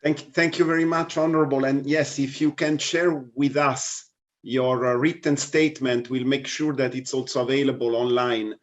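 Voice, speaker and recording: A man moderating a parliamentary roundtable in measured, diplomatic language. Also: mid-range voice, fairly even intonation. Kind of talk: formal discussion moderation.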